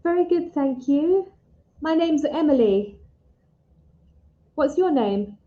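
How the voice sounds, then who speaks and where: A woman's voice: high voice, animated, slow. A British woman tutoring English in a casual online chat, speaking in simple, encouraging, teacherly phrases over a muffled call. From Britain. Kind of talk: casual one-to-one lesson chat. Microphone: video call.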